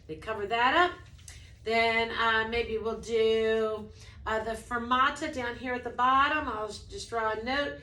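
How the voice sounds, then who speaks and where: Brief: woman music teacher, high bright voice, casual, room echo. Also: steady tone, unhurried. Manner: demonstrates by singing snippets, then speaks casually; says she has a low voice. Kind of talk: explaining a preschool music lesson.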